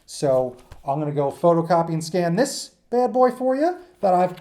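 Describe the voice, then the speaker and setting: A man's voice: high voice, big pitch swings, normal speed, mellow. A man giving an informal lecture to students in an enthusiastic, emphatic, rambling conversational way, as their teacher.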